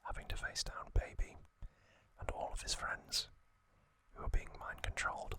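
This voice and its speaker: A man whispering gently in an ASMR style, hosting with frequent pauses, close to the microphone. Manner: slow, gentle delivery with pauses. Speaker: host of an ASMR trivia channel.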